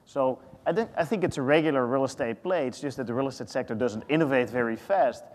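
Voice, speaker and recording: A Dutch man in his thirties on a conference panel, thinking aloud with lots of restarts. His voice sits at a middling pitch. The hall around him adds a long, ringing reverb. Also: quick pace. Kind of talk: panel discussion.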